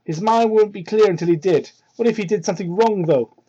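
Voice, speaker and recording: A man with a high, mellow voice reads a story aloud in a mock-dramatic way. He breaks off for sarcastic, incredulous commentary and leans on words theatrically. The sound is dull and lo-fi, from a cheap microphone. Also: a little fast, slightly rough. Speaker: host doing a dramatic reading of fan fiction. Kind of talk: mocking read-aloud with ad-libbed commentary. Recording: muffled, low-quality cheap microphone.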